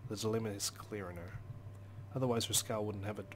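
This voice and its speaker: A man's voice: on the low side, steady tone, a little fast, a little hiss or hum. A man hosting a let's play, reading a visual novel's story aloud as dramatic, brooding narration.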